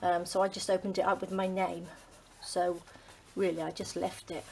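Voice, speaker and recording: Chatty middle-aged Englishwoman, low voice. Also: flat delivery, moderate pace, vocal fry, a little hiss or hum. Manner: rambling, many ums, drops to a soft near-whisper while working. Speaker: craft channel host. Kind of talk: casual chat while crafting.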